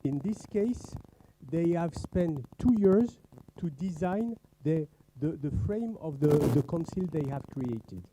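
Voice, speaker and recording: A man moderating a workshop session, explaining deliberately and often repeating words. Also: on the high side, expressive, noisy background.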